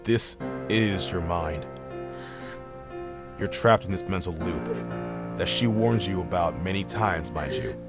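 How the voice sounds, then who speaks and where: A man's voice: low voice, slow pace, pauses often, loud music behind the voice. A man playing a grieving woman's mind as a blunt, exasperated, sweary inner voice in an audio drama, confronting her with pointed questions. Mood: subdued, reasoning. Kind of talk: amateur audio drama acting.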